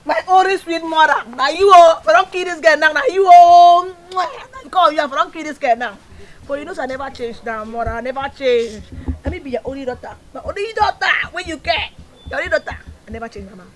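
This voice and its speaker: A woman's voice: high voice, expressive, fast talker. A furious Nigerian young woman. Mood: defiant. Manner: shouting threats. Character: hot-tempered young woman, Ikwo.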